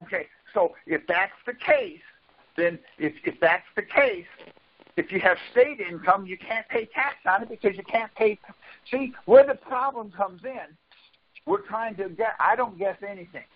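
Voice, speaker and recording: A man with a notably high voice argues his points on a group call. He is insistent, repeats himself and cuts in. The audio is dull and lo-fi. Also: expressive, a little slow. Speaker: conference call participant. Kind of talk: argumentative explaining on a group call. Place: group phone conference call.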